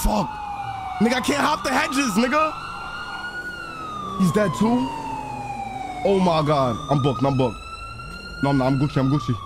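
Excitable man, swinging high-pitched voice. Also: normal speed, pauses often. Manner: slangy, excitable, repeats phrases. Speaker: streamer playing a role-play game. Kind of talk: in-character live gameplay chatter. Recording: sirens and vehicle noise behind voice. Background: game sirens and car sounds.

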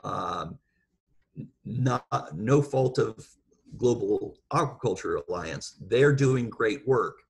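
A man giving an informal expert talk over a video call, explaining things in a halting, measured way. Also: on the low side, gravelly, poor audio. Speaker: guest speaker, seafood industry professional.

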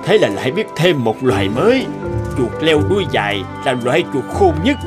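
A man's voice: medium pitch, sing-song, fairly quick, music in the background, lightly acted. A man playing an earnest, obsessive middle-aged village farmer and inventor in a cartoon, explaining things earnestly. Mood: eager, absorbed. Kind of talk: cartoon dialogue.

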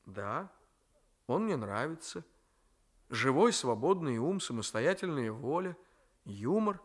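A man reading a novel aloud as a stubborn, ironic gentleman who argues and teases. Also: medium pitch, big pitch swings, slow pace. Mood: cool. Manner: argumentative, teasing. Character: stubborn, ironic gentleman grandson standing his ground.

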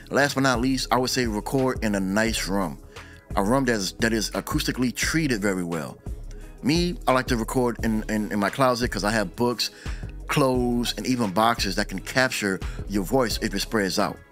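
Low-voiced middle-aged engineer, casual home tutorial, quiet music bed. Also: male voice. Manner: relaxed, talks with his hands, repeats words as he goes. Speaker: audio engineer and channel host. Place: small room at home. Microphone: desk mic on boom arm.

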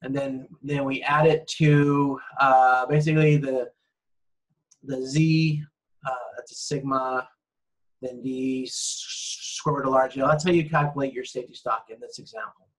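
Husky man lecturing, full of ums. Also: medium pitch, flat delivery, unhurried, poor audio. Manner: rambling, self-correcting with frequent ums. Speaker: university lecturer. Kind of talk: recorded slide lecture.

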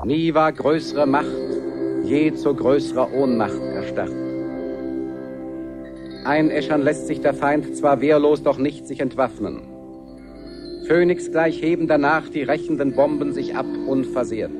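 A man's voice: a little high, unhurried, close to an everyday voice. A man playing a solemn, lofty narrator declaiming verse in a 1970s German radio play. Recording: loud music under the voice.

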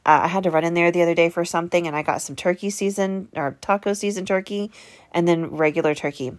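An American woman with a fairly low voice talks through home cooking in a casual voice-over. She is chatty and folksy, with an enthusiastic way of speaking. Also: fast.

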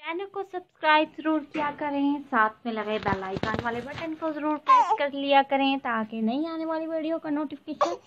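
High-voiced woman hosting a decor channel. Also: animated, moderate pace, few pauses, highs cut off, slight background noise. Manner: warm blessings and friendly appeals to viewers. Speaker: YouTube channel host. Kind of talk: voice-over for a home decor slideshow. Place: voice-over, not in the pictured rooms.

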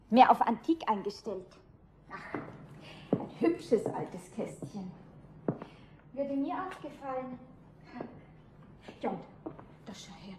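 A sly, light-fingered saleswoman, talking to herself in a high voice. It is a seventies radio play with faint noise behind her. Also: warm tone. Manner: muttering to herself. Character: sly female travelling saleswoman, light-fingered and self-justifying. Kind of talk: 1970s radio play acting.

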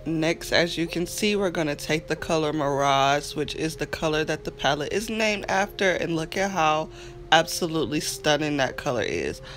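A woman's voice: deep voice, animated, normal speed, fairly bright tone. A young woman with a cold, chatting casually through a makeup tutorial in her bedroom. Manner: chatty, upbeat, talks to viewers as friends. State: stuffy, sick with a cold. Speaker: beauty vlogger. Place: bedroom, indoors. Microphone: camera facing her at close range.

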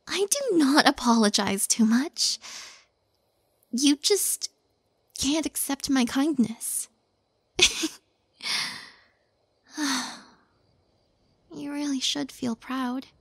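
Soft, breathy, slow-talking shy young witch, acted by a woman. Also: a little high, no echo, full character voice. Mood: shy, happy. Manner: gentle, hesitant, halting, often breathy near-whisper. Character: sweet, shy, apologetic young witch healer. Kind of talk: soft intimate audio roleplay.

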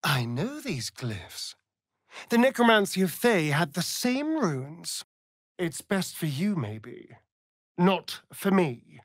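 An actor playing a sardonic, vain vampire rogue in a video game, with an arch, theatrical, drawling delivery. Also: male voice, high-pitched, sing-song, slow, no room sound, studio quality, full character voice. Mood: arch, amused. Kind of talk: video game character lines.

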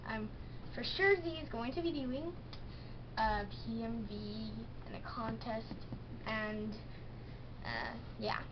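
A teenage girl chatting in a rambling, bubbly way in a casual home vlog, recorded on a handheld camera in her bedroom. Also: a little high. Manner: bubbly, rambling, many fillers. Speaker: pony toy vlogger. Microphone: handheld camera's built-in mic. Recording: dull lo-fi camera audio with hiss.

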